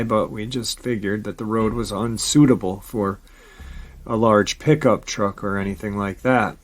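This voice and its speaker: Low-voiced man reading eerie encounter stories. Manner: steady storytelling read with brief casual asides. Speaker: paranormal channel host. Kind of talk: reading viewer-submitted encounter stories aloud.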